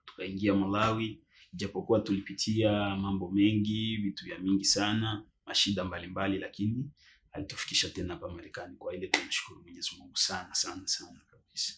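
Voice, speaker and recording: A man's voice: low voice, fairly even intonation, quick pace, noisy background. A man from Congo speaking with heartfelt gratitude in a documentary interview. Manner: grateful, heartfelt.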